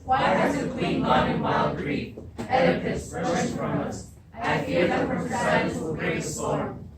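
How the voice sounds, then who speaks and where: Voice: medium pitch, lively intonation, measured pace, close to an everyday voice. Amateur actors voice a solemn chorus of a classical tragedy, declamatory and prayerful. It is very echoey and distant, thick with many voices. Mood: alarmed. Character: solemn, pious chorus fearing the gods. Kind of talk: beginning drama class readers theatre. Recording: very echoey room, distant, loud crowd.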